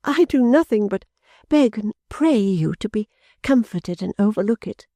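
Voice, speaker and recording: A woman reader voicing a gentle, timid young woman who speaks softly and earnestly pleads. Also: medium pitch, expressive, normal speed, no room sound, voice pushed up. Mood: pleading.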